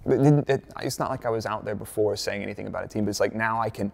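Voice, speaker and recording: A laid-back American man from Dallas talks through interview answers with a low, gravelly voice. He keeps it chatty, full of fillers and jokey asides. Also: quick pace. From United States, Dallas. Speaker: F1 content creator, former performance engineer.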